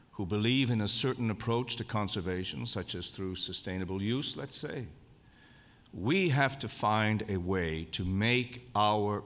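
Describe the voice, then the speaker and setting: A man giving a formal, measured lecture at a convention, speaking deliberately and stressing key words. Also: lowish voice, a bit bright. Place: lecture hall. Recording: long hall reverb.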